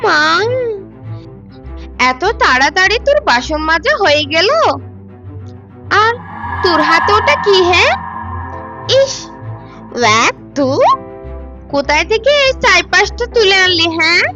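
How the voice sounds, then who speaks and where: A cruel stepmother from a children's fairy-tale cartoon. Her voice is extremely high, and she orders and scolds harshly and with open contempt. Background music plays clearly underneath. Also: very animated, measured pace, pauses often, sharp tone, lo-fi sound, full character voice. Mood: disgusted, scolding.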